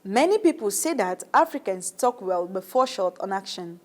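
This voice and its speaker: A woman interviewing a guest and asking formal questions in a composed, measured way. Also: medium pitch, animated.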